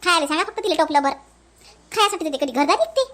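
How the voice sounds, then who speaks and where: A woman's voice: high-pitched, animated, quick pace, fairly bright tone. A woman voicing a blunt, gossipy village auntie in a family cartoon, speaking with a Varhadi Marathi accent. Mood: nosy, mocking. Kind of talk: animated family comedy dialogue.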